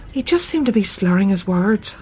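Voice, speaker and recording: A woman's voice: mid-range voice, lively intonation, measured pace, darkish tone, a little hiss or hum, lightly acted. An actress playing a doting, concerned middle-aged mother in a naturalistic radio drama.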